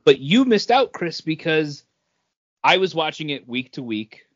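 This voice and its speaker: A man co-hosting a podcast, chatting casually about pop culture as he explains his opinions with halting self-corrections. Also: fairly high pitch, very animated, no room sound, dull audio. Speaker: podcast co-host.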